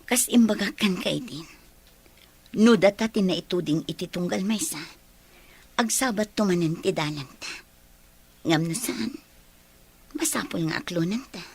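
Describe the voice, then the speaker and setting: A woman's voice: lowish voice, a little slow, long pauses, slightly rough. A woman with an Ilocano Filipino accent playing a sorrowful, resigned young girlfriend in a radio drama.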